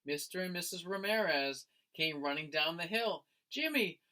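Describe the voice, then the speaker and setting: A man, a teacher, reading a picture book aloud to young children in an expressive storytelling style. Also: on the high side. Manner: expressive, slow, addressing listeners as friends.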